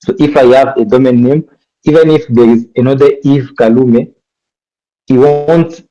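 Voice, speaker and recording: A man's voice: medium pitch, moderate pace, dark tone, highs cut off. A man from DR Congo with a French accent, teaching a live coding workshop and often stopping to correct himself mid-sentence. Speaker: workshop instructor.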